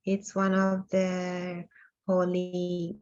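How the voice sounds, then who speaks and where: A woman's voice: on the low side, monotone, darkish tone. Hesitant woman from Iran on a call. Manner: hesitant, many pauses and fillers, laughs often. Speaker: workshop participant. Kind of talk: casual introduction on a video call. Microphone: video call. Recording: dull lo-fi call audio.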